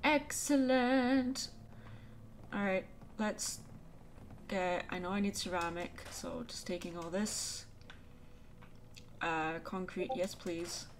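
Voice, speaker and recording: A woman's voice: lowish voice, takes it slowly, pauses often, a little hiss or hum. A young woman chatting casually and thinking aloud while playing a game. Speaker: let's play host. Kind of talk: casual gameplay commentary.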